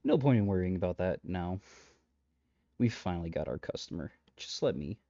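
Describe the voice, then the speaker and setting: A man's voice: low-pitched, big pitch swings, normal speed, mellow, no echo, dull audio, full character voice. A man playing a shady, flippant matchmaker in a comedic audio roleplay, rambling sarcastically to the listener with breezy menace. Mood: breezy, chatty. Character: shady, flippant male matchmaker coercing a trapped customer.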